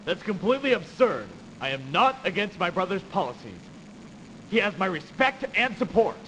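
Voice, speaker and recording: A proud, disgruntled young nobleman with scheming ambition in an old video game. His voice sits fairly high and swings widely with indignation. It rings in long reverb. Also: big pitch swings, normal speed, a bit bright. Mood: indignant. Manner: haughty, indignant, exclamatory. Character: disgruntled young idealistic nobleman, haughty, hot-tempered, scheming for power. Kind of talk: theatrical video game voice acting. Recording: noisy, reverberant early CD game audio.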